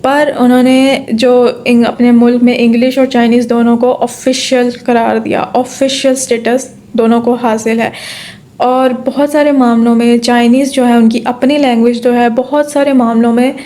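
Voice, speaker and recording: Woman tutoring with a flat, mellow voice. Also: fairly high pitch, normal speed. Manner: explains step by step, repeats points, asks and answers own questions; frequent fillers and "theek hai" checks. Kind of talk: exam-prep lecture in Urdu-English mix.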